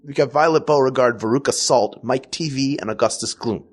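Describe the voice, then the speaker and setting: A man's voice: medium pitch, fairly quick. A man with a nasal Philadelphia accent, co-hosting a comedy podcast in playful casual banter. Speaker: podcast co-host.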